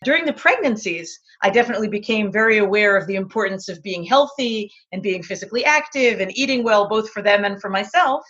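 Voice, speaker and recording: A middle-aged woman from Israel, a guest on an interview, telling her story in a chatty, animated way. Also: medium pitch, fast, few pauses, husky, highs cut off. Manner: chatty, animated, acts out quotes.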